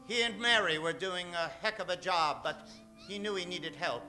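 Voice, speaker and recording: Male radio announcer for a western, high voice, emphatic old-time delivery with stretched-out names, prominent music underneath. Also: moderate pace, sharp tone. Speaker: announcer introducing a radio play cast. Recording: music behind the voice.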